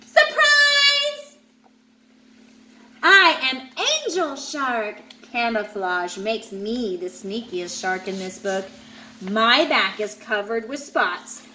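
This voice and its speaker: A woman's voice: fairly high pitch, pushed and bright, a little hiss or hum, full character voice, poor audio. Woman as a gleeful, swooping, sneaky angel shark. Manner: gleeful, exclamatory. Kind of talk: children's picture book read-aloud.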